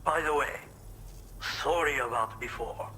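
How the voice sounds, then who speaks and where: A man's voice: mid-range voice, a little slow, bright, forceful tone, slight background noise, poor audio. A man playing an eccentric, grandiose pizza chef in a video game cutscene, speaking formally and dramatically. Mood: earnest, pompous. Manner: pompous, formal, dramatic phrasing. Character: eccentric, grandiose pizza chef with theatrical martial-arts zeal.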